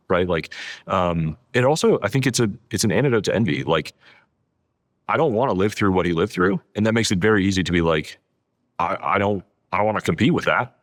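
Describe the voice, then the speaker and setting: Low-voiced man explaining in conversation. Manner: peppers sentences with "like", emphatic repetition of adjectives. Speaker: podcast guest, author. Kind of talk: casual interview conversation, explaining.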